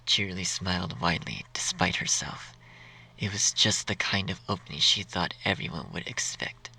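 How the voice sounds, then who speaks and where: A low-pitched man narrates a scripted story. His voice is bright and forceful with a creaky edge, over faint music. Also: moderate pace, low-quality recording.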